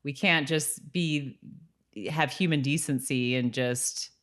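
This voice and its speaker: Low-pitched woman, playful podcast co-host. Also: takes it slowly, fairly bright tone. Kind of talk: casual TV rewatch discussion with some recap narration.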